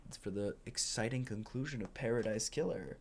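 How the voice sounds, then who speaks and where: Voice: mid-range voice, fairly quick. A video game playthrough host talking casually while recording commentary. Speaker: gameplay video host reading game dialogue aloud. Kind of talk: casual let's play commentary with voiced dialogue. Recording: game music under the voice. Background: game music.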